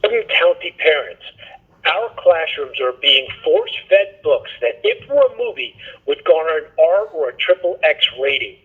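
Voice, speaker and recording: A man's voice: a little high, very animated, normal speed. A man reading a scripted, alarmist political robocall, heard as a telephone voicemail. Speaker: campaign robocall announcer. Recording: muffled, husky answering-machine phone recording.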